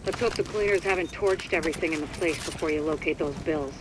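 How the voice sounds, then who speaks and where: A woman playing a steady agent handler in a video game, guiding an operative in a businesslike, dryly encouraging way. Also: low voice, fast, close to an everyday voice. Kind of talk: scripted game dialogue, radio guidance. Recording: noisy game ambience behind.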